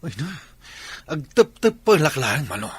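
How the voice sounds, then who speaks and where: A man playing a brash, cocky, scheming lover in a radio drama, with a Filipino accent and a husky, swaggering voice. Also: a little high, big pitch swings, a little slow, noisy background. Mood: annoyed.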